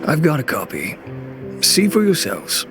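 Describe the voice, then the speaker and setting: A slightly high man's voice for a weary, reluctant businessman in a video game. He is dry and courteous, speaking very slowly and hesitantly, with music clearly audible behind him. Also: wildly swooping pitch. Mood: resigned, serious. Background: background music.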